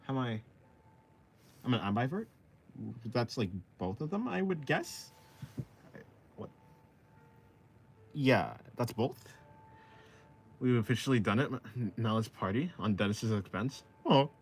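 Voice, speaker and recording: A young man in his twenties talks loosely with his viewers as he draws. His voice is a little husky, and his pitch moves around a lot. He reads comments aloud and answers them in an easygoing way. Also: animated, measured pace, pauses often. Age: 20s. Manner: laid-back, reads chat aloud and answers. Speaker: art streamer. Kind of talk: casual live-stream chat while drawing.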